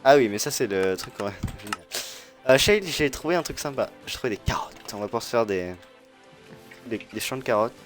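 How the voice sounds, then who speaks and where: A young man chatting casually and excitedly while playing a video game with a friend. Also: medium pitch, fairly quick, soft background music. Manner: lively, jokey, bursts into loud exclamations. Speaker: Minecraft let's player, channel host. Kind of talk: casual gaming chat with a friend.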